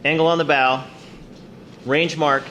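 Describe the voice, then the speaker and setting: Man acting a stern, forceful submarine captain. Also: fairly high pitch, fairly even intonation, unhurried, slight background noise. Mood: focused, decisive. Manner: commanding, clipped orders. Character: stern cautious male submarine captain in wartime. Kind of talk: radio-play style war drama acting.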